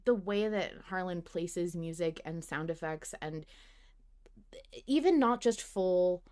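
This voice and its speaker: A woman with a slightly low-pitched voice talks as a podcast host. She is casual and emphatic, in the loose style of fan discussion, and swears freely. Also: animated, slow pace, dark tone, smooth.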